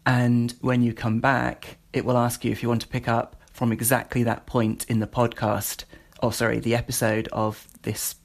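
A man's voice: medium pitch, vocal fry. A British man giving an informal spoken demonstration as a presenter, rambling a little and feeling his way through. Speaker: presenter demonstrating a voice assistant skill.

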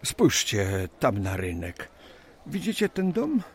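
A man plays a genial, businesslike president of the 1820s in a radio play. He instructs in a formal, expansive way, taking his time, with a slightly gravelly voice and richly varied intonation. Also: on the low side, huge pitch swings, takes it slowly, exaggerated voice. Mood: thoughtful, explaining. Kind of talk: radio drama acting.

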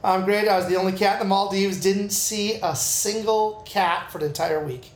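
A man's voice: high voice, steady tone, normal speed. A jokey man co-hosts a casual podcast, cracking wisecracks between questions. His voice rings in an echoey room over a call. He laughs often. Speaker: podcast co-host.

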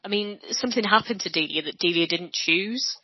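A woman's voice: mid-range voice, brisk, husky, dry recording. A woman from Wales with a Welsh accent, co-hosting a podcast and earnestly making her points in casual chat. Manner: earnest, makes points at length. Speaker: podcast co-host. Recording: dry, dull lo-fi sound.

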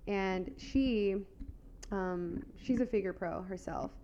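Young woman, mid-pitched, rambling and candid, slightly dull-sounding recording. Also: takes it slowly, warm tone, a little hiss or hum. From United States. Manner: chatty, fills with "like", laughs easily. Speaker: guest, figure competitor. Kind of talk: casual podcast chat.

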